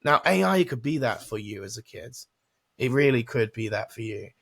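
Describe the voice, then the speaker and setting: A man's voice: medium pitch, animated, moderate pace, vocal fry, a bit bright. A man co-hosting a podcast, talking emphatically and conversationally as he makes his points. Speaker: podcast co-host.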